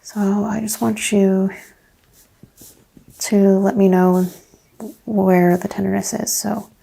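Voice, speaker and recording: A soft-spoken woman playing a chiropractor in an ASMR roleplay, hushed and often drifting into a whisper. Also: mid-range voice, little pitch movement, slow pace, gravelly, slightly rough, poor audio. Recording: faint background noise.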